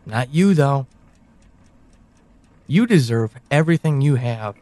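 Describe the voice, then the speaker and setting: Guy acting as a brooding knowing man in an audio drama, talks right at you, slow deliberate pauses, really dry sound. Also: mid-range voice, lively intonation, dark tone, no room sound. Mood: reassuring, grave. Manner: deliberate, halting delivery with sighs and pointed pauses. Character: brooding, knowing man confiding in an amnesiac woman. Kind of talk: audio drama monologue, second-person address.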